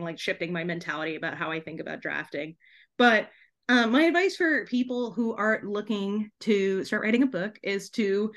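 A woman's voice: medium pitch, a bit bright. Giggly woman chatting casually. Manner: giggly, laughs often while talking. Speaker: guest author and TV trailer writer. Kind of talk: casual podcast interview.

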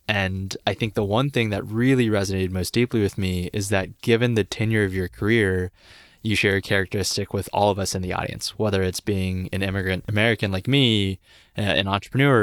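A man's voice: low-pitched, clear, resonant tone, no room sound, studio quality. A man around thirty, a student interviewer asking earnest questions with casual fillers. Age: late 20s to early 30s. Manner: earnest, uses fillers like "you know".

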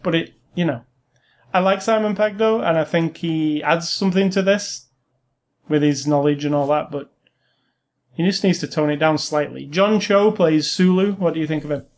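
A man with a slightly high-pitched voice talks as the co-host of a film review. His delivery is casual and informal, and he states his opinions bluntly. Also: normal speed.

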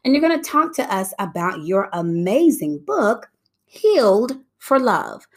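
A woman's voice: mid-range voice, very animated, a little slow. A woman hosting a podcast interview, upbeat and exclamatory as she welcomes her guest. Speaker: podcast host.

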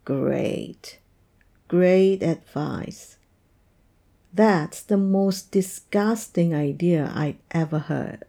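A woman's voice: on the low side, vocal fry, mellow, heavily acted. A woman acting an oversensitive young woman in an intimate audio roleplay, speaking to her partner in a hesitant, plaintive way. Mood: annoyed, pouting. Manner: hesitant, plaintive, halting delivery. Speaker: roleplay voice performer. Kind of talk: intimate audio roleplay, one-sided dialogue.

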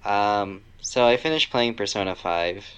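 A man co-hosting a podcast in casual chat, with a deadpan, dryly joking manner. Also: on the low side, a little slow, smooth. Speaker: podcast co-host.

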